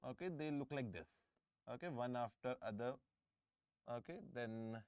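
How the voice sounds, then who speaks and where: A man's voice: medium pitch, normal speed, darkish tone, smooth. A middle-aged man with an Indian English accent, teaching a live online engineering class, often repeating himself and checking in with students. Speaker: engineering exam tutor. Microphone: close microphone.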